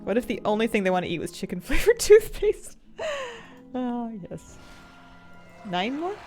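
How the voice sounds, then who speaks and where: A woman in her thirties chatting casually while she plays a game, rambling and halting with restarts and soft laughs. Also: on the high side, very animated, faint music behind. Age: 30s. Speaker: streamer. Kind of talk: casual chat while gaming.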